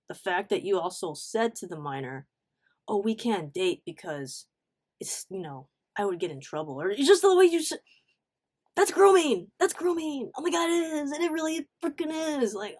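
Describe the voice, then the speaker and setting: Expressive woman telling a personal story. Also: on the high side. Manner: animated, emphatic, acts out reported dialogue; informal slangy talk with swearing. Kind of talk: casual confessional storytime chat.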